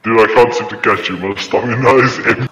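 A man's voice: fairly low pitch, a little fast, fairly bright tone. A young South African man bantering during a video game with playful, taunting menace and chuckles. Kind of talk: casual gaming banter with friends. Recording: roomy gaming mic with faint noise.

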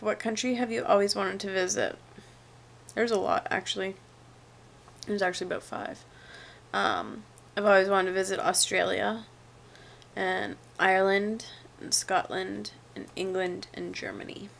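Young woman, stuffy cold voice, gravelly fry, laid-back vlog chat, camera at arm's length, living room sofa. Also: medium pitch, a little hiss or hum. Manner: chatty and laid-back, trails off quietly at the ends of sentences. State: stuffy nose from a cold, tired. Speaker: vlogger. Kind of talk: casual vlog answering tag questions. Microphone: webcam or handheld camera at arm's length.

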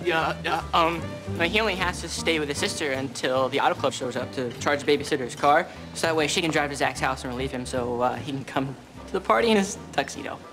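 A man's voice: a little high, fast talker, over music, natural voice, rough recording. A young man playing an awkward, goofy teenage boy who stammers and fumbles when nervous. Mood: flustered. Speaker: teen sitcom character. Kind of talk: sitcom acting.

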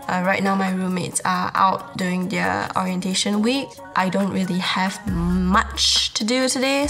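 A young woman from Singapore, a university student, chatting casually as she gives a walking tour of her student flat, filmed on a handheld camera at arm's length. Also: medium pitch. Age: young adult. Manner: chatty, explains as she walks around pointing things out. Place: student flat kitchen and bedroom, indoors. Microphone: handheld wide-angle camera at arm's length.

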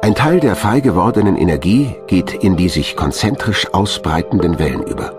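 A man's voice: sits low, lively intonation, normal speed. A man reading a scripted science documentary narration as its narrator. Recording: loud music bed under narration. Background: loud background music.

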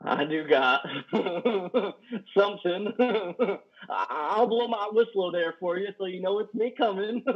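An actor in his thirties playing a lonely, awkward trucker in a play reading, halting, stammering and folksy. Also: sits high, slightly rough, exaggerated voice. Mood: shyly pleased. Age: 30s. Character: lonely, awkward, self-deprecating trucker, plain-spoken and folksy. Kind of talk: play reading over video call. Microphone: headset on a video call. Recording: dull video-call audio.

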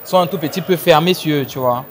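A man's voice: on the high side, quick pace, a crowd in the background. A man hosting as a dating coach, encouraging his student with praise and questions, on a city street. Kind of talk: interviewing a student.

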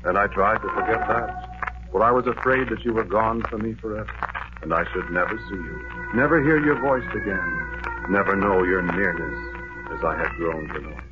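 An actor playing a weary, lovelorn war veteran, narrating in a brooding, poetic, measured way in a 1940s radio drama. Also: male voice, lowish voice, over loud music, lightly acted. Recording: noisy, dull 1940s radio recording.

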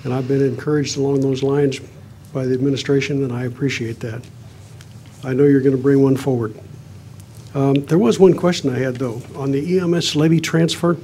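A man speaking as a city councilman in a meeting, plain-spoken and measured with frequent filler sounds. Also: medium pitch, fairly even intonation, dark tone. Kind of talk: council floor remarks. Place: council chamber. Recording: faint room noise in a council chamber.

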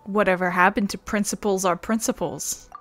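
A woman's voice: medium pitch, fairly even intonation, moderate pace. Wry woman gamer commentating over music. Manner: wry, rambling, joking. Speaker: gaming streamer. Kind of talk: casual playthrough commentary. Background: game music.

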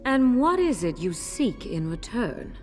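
Game death goddess: cold, aloof, commanding, swooping intonation, cavernous reverb. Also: female voice, fairly high pitch, very animated, unhurried. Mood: cold, imperious. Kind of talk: video game cutscene acting. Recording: long cavernous reverb, music behind.

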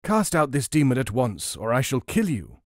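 A man's voice: mid-range voice, huge pitch swings, moderate pace, no echo, studio quality. A man voicing a stern, threatening king in a storybook tale, with a commanding manner.

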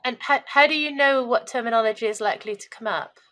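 A woman's voice: on the high side, quick pace, fairly bright tone. A woman in her thirties hosting a video call interview through a headset, asking short questions. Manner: short prompts and brief acknowledgements. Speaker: interview host. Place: small room indoors.

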